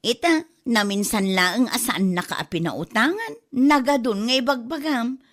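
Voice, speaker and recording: A woman's voice: fairly high pitch, expressive, a little slow. A woman playing a gossipy neighbour with a Filipino accent, emphatically disapproving in a comedy drama. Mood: disapproving gossip. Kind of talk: comedy radio-drama acting.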